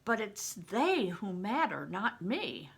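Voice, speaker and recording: Older woman as anxious boy, animated pitch. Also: takes it slowly, gravelly, breathy edge, exaggerated voice. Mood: self-doubting. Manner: worried, hesitant, protesting. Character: anxious, self-doubting peasant boy.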